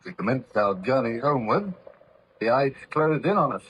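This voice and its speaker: An actor playing a steady, responsible sea captain in an old radio drama, formal, courteous and commanding. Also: male voice, mid-range voice, moderate pace. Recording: muffled, noisy old radio recording.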